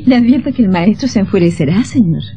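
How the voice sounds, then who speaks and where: An actress playing a gentle but spirited woman of twenty in expressive old radio melodrama style. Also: mid-range voice, fast, muffled recording, faint music behind, lightly acted. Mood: polite, teasing. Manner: expressive, theatrical.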